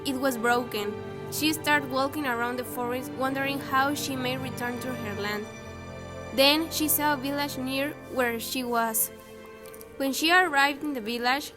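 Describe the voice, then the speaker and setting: High-voiced young woman narrating over music. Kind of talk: scripted fairytale narration.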